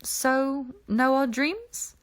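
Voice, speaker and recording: A woman's voice: sits high, animated, drawn-out words, pitched above the performer's own voice, heavily acted. A woman voicing a gracious, concerned young alien woman in an audiobook. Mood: concerned, curious.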